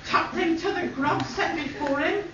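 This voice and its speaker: A woman's voice: very high voice, moderate pace, background noise. A woman, reading a rhyming wedding speech from a sheet and chuckling often as she goes. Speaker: guest giving a wedding speech. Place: indoor party room at a wedding celebration. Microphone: camcorder from across the room. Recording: distant echoey dull camcorder audio with room chatter.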